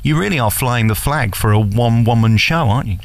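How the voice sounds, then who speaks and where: An English man interviews in a conversational radio style. His voice is mid-pitched with a marked gravelly creak. He is effusive and full of compliments. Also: expressive, fairly quick.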